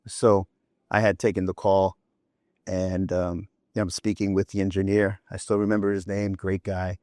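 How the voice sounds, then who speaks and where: Deep-voiced man telling work stories. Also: flat delivery, normal speed, darkish tone, no room sound, clean studio sound. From New York City. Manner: chatty anecdotes with frequent "you know" and "right?". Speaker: logistics executive, guest. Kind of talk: relaxed storytelling interview talk.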